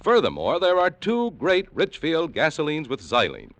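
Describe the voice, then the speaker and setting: A man's voice: a little high, wildly swooping pitch, moderate pace, a bit bright. An American man announcing a 1950s radio commercial with polished, emphatic salesmanship. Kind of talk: 1950s radio advertising pitch. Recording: dull, dry vintage radio recording.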